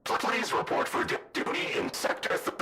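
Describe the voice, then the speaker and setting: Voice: normal speed, sharp tone, faint music behind. An actor voicing a glitching robot in a cartoon adventure game, with a metallic filter and polite, stilted, stuttering announcements. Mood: mechanical, polite. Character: glitching robot greeting workers and giving duty orders.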